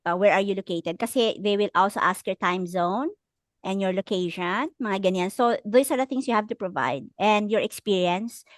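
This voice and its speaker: A woman's voice: mid-range voice, normal speed, no room sound, studio quality. A woman with a Filipino accent teaching an online class, encouraging students as she talks and switching between English and Tagalog. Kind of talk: casual lecture coaching students.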